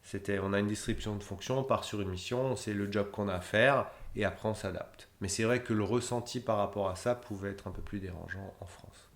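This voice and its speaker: A man's voice: fairly low pitch, brisk, hardly any pauses. A man in his thirties, a humanitarian logistician, answering interview questions in a rambling way with many fillers and restarts. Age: 30s. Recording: roomy echo.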